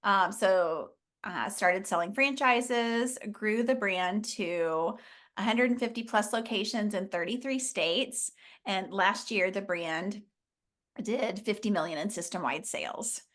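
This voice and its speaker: A middle-aged woman from Oklahoma, a business founder chatting as a guest, telling her story with quick laughs. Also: mid-range voice, a bit bright. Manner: chatty, laughs often, upbeat. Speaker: franchise founder, interview guest. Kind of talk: casual storytelling.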